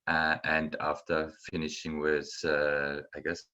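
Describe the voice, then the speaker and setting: A middle-aged man from Germany with a German accent, giving a university lecture in an unhurried way with many ums and uhs. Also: rumbling low, completely flat delivery, sharp tone, dull audio. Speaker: guest lecturer.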